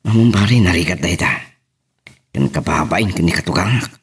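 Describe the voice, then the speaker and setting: A man's voice: sits low, expressive, a little fast, slightly rough, full character voice. A man playing an anxious husband in an amateur audio drama, talking hesitantly and conversationally. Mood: somber. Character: anxious Ilocano husband left at home with the children.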